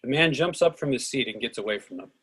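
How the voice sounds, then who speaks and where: Husky man reading stage directions. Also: mid-range voice, expressive, quick pace, fairly bright tone. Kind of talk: scripted narration of stage directions.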